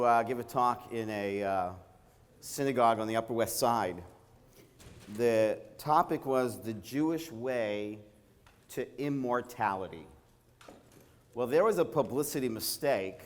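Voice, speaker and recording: Brooklyn-accented rabbi speaking in a reverberant hall. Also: male voice, medium pitch. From Toronto, Canada. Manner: conversational, anecdotal, checks in with "right?". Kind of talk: inspirational religious talk to an audience.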